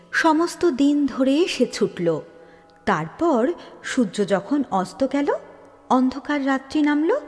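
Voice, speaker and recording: Expressive, slow-paced woman storyteller with long reverb. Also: a little high. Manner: expressive, with dramatic pauses and emphasis. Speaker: storyteller reading an audio story. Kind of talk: dramatic literary story narration.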